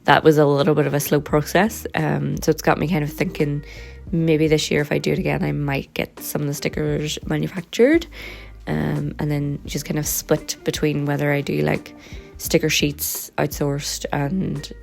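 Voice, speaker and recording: Woman, low and gravelly, a little hoarse, relaxed chatty vlogger. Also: normal speed, soft background music. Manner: relaxed and rambling, with frequent ums. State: slightly hoarse and croaky. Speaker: vlogger and sticker and journal maker. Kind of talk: casual chatty vlog.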